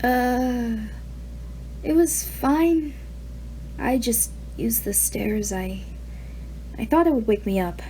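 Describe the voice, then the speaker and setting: Stammering teen boy hero, high and jittery , as a woman reads him. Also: slow pace, long pauses, warm tone, slight background noise. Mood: awkward. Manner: stammering, eager. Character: nervous teenage boy superhero.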